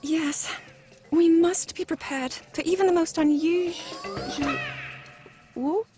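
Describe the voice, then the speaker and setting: Earnest, very high-voiced young heroine. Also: female voice, big pitch swings, slow, full character voice. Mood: cautious. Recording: music over the voice.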